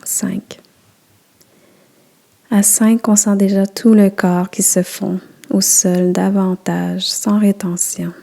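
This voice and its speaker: Female relaxation guide, warm mellow tone, gentle soothing delivery, very unhurried. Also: medium pitch, flat delivery, gravelly. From Quebec. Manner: slow, soft, soothing instructions. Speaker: yoga nidra guide.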